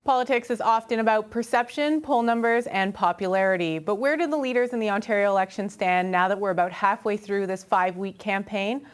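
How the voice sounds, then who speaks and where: A woman of medium pitch hosting a news web show, crisp in her scripted links and relaxed when she questions a guest. Faint music plays underneath. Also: fairly quick, few pauses. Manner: crisp scripted delivery, conversational in interview. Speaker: host.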